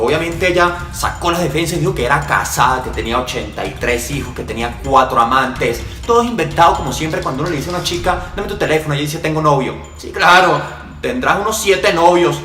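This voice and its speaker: A man's voice: a little high, fast talker, hardly any pauses, sharp tone, echoey room, faint music behind. A man giving brash, slangy voice-over commentary on a dating clip. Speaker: channel host commenting on the clip.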